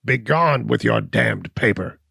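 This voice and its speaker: A man voicing a snarling, defiant hunchback who shouts and sneers in a raised, strained voice. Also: medium pitch, moderate pace, creaky, no room sound, clean studio sound, pitched above the performer's own voice.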